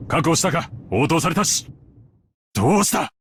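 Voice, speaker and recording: Rapid, urgent henchman. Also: a little high, sing-song, a little hiss or hum. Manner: clipped, urgent, military-style reporting. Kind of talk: visual novel voice acting.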